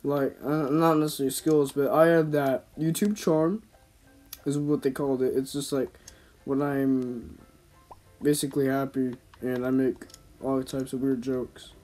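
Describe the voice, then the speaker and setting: A man's voice: medium pitch, steady tone, a little slow, a little mellow, faint music behind, poor audio. A man giving casual, rambling gameplay commentary in slangy, informal speech, as a gaming YouTuber. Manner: slangy, informal, talking to himself and viewers.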